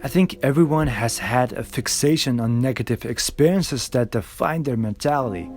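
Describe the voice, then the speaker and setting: A man narrates a scripted video essay in an earnest, reflective tone. His voice sits at an ordinary middle pitch. Soft music plays faintly behind him. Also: moderate pace. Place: recorded alone.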